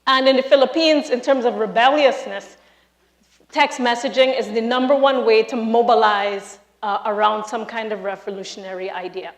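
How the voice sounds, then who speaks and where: A woman from Trinidad with a Trinidadian accent, a lecturer giving a lively, emphatic live talk to an audience in a large hall. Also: on the high side, normal speed. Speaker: speaker, university lecturer.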